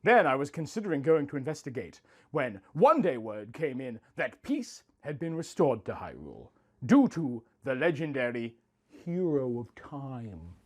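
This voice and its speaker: A man's voice: medium pitch, very animated, moderate pace. A man narrating a scripted first-person story. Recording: music under the voice. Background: music.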